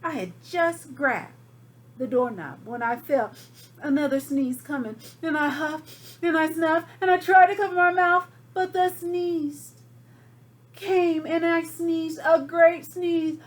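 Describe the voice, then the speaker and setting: A woman gives voice to a folksy storybook wolf who pleads innocence in a high, slow-paced delivery. The telling is chatty and dramatic, made for children, with a light hum of noise in the recording. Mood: building suspense. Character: folksy, self-excusing wolf telling his side. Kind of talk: storybook read aloud to children.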